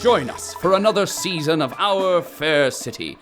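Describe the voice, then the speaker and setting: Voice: medium pitch, lively intonation, moderate pace, lightly acted. A performer playing a grandiose narrator of a post-apocalyptic sci-fi epic in a dramatic scripted trailer. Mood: grand, ominous. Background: music.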